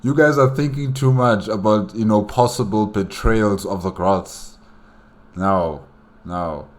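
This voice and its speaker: Deep-voiced man, casual reaction commentary. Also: slow pace. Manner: conversational, repeats phrases, speaks to the story's characters. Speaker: reaction host.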